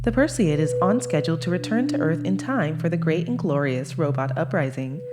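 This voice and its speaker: A woman voicing a polite, coolly menacing female ship AI with formal, measured announcements in a sci-fi audio comedy. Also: deep voice, lightly acted. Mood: polite, matter-of-fact.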